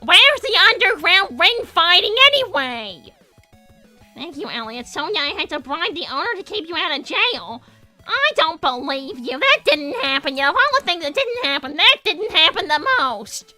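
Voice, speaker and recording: A woman's voice: very high voice, big pitch swings, normal speed, bright, forceful tone, no echo, faint music behind, exaggerated voice. A woman voicing a bratty, defensive young female hedgehog, indignant and sassy, in improvised livestream comedy. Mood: dismissive. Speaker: character host reading viewer messages.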